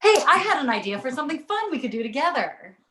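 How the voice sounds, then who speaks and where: A woman playing a doting young mother with a soothing, reasoning manner, in an animated comedy table read. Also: sits high, fast talker, a little hiss or hum, heavily acted. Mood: patient. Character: doting, placating young mother of a baby boy. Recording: echoey room.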